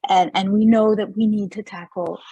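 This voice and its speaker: A woman's voice: mid-range voice, steady tone, normal speed, dark tone, slightly rough, no echo, highs cut off. A woman from Peru moderating a virtual panel discussion, hesitant and searching for words.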